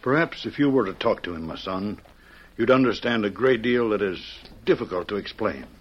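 An actor playing a kindly, cautious mission priest in an old radio western, speaking in a measured, formal, solemn way. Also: male voice, fairly low pitch, animated, slightly rough. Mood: gentle, discreet. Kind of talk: 1940s-50s radio western acting. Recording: muffled old radio broadcast.

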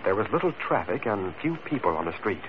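A man's voice: on the low side, sing-song, a little fast. A man narrating a scripted 1940s radio adventure. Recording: muffled, noisy old radio recording.

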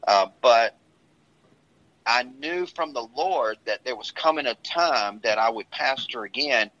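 A man who is a pastor, talking earnestly and at a measured pace about his own story, often restarting his sentences. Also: on the low side, bright, forceful tone. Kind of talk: casual talk sharing a testimony. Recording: dull lo-fi sound.

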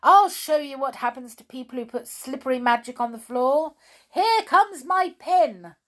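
A woman voicing a naughty, spiteful female toy doll in a storybook, speaking shrill and cross. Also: animated, takes it slowly, fairly bright tone, full character voice. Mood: angry.

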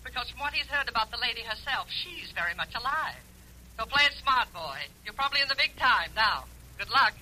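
An actress playing a brisk, shrewd client briefing her detective by telephone in an old-time radio drama, confident and punchy. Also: fairly high pitch, sing-song, pushed and bright, exaggerated voice. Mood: brisk, conspiratorial. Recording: noisy vintage radio, telephone call.

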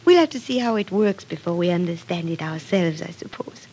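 An actress playing a gentle, patient young wife and new mother in an old radio soap, speaking softly with careful, precise diction. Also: lowish voice, expressive, fairly quick. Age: young adult. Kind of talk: 1940s radio soap acting. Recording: noisy vintage radio recording.

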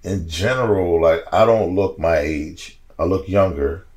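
A man in his forties, a podcast guest, telling stories in a casual, slangy, animated way and laughing as he goes. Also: sits low, measured pace. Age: 40s. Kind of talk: casual storytelling chat.

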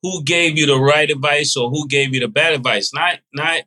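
A man's voice: fairly high pitch, moderate pace, sharp tone. A man co-hosting a podcast, casual and probing, asking pointed questions. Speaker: podcast co-host.

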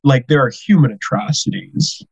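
A husky-voiced man in his thirties, from the United States, rambles through self-deprecating stories. His voice sits at an ordinary pitch. The dry, slightly dull recording has no room sound. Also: expressive, normal speed, no room sound. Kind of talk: casual podcast chat.

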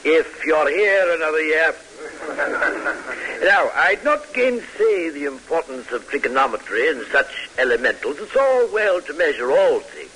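An actor playing a frail, fussy older military professor with a hesitant, pompous way of lecturing in an old radio drama. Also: male voice, on the high side, big pitch swings, a little slow, pushed and bright, heavily acted, poor audio. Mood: pompous, dry. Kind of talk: 1940s-50s radio drama acting. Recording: hissy vintage radio recording.

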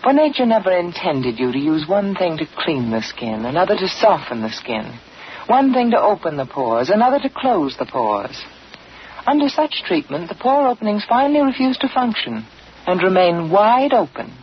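A woman announcer reading a scripted radio commercial in a measured, persuasive way. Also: fairly low pitch, very animated. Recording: dull 1940s radio broadcast, faint hiss.

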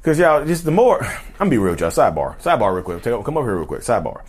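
Guy ranting to his viewers, all over the place pitch-wise, sidebars everywhere. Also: very animated, racing, darkish tone, breathy edge. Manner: chatty, keeps addressing viewers as y'all; repeats and restarts, drifts into sidebars. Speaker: YouTuber talking about narcissism. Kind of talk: casual direct-to-camera talk.

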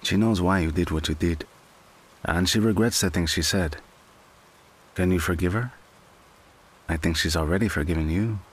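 A man acting a gentle, patient friend in an audio drama, consoling in a measured, coaxing way. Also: deep voice, low-quality recording. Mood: earnest, reassuring. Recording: clear background hiss.

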